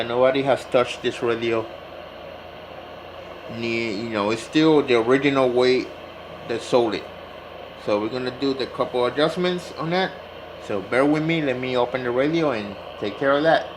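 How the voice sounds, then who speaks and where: A man's voice: medium pitch, normal speed. Man giving hands-on tutorial over background noise. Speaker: radio repair hobbyist demonstrating. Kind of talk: informal hands-on tutorial narration.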